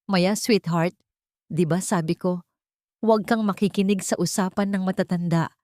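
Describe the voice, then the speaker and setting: A woman's voice: fairly low pitch, steady tone, moderate pace, mellow, no room sound, clean studio sound, natural voice. Woman voicing a sugary, menacing stepmother. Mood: menacing sweet. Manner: sweet on top, threatening underneath.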